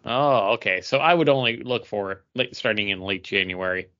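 A man's voice: lowish voice, moderate pace, bright, forceful tone, muffled recording. A man co-hosting a podcast in casual chat with friends, rambling and correcting himself as he goes. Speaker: podcast co-host.

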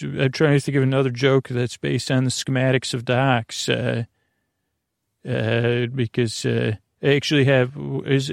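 A man hosting a bedtime podcast in a rambling, halting, gently silly monologue, trailing off mid-thought in a gravelly voice. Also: medium pitch, dry recording, studio quality. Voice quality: creaky, gravelly. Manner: deliberately dull, gently silly. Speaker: podcast host.

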